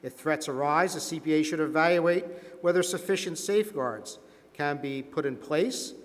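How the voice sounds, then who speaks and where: Man as probing regulatory board member, fairly high male voice, measured and formal, staged hearing in a reverberant hall. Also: lightly acted. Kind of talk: scripted dramatization of a hearing. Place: large meeting hall with sound system. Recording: long reverb of a large hall.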